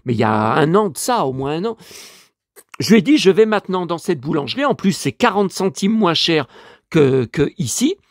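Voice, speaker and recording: A man's voice: on the high side, big pitch swings, normal speed, no room sound, clean studio sound. A man with a stuffy head cold, rambling through a casual vlog monologue and complaining in exasperation. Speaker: vlogger and collector.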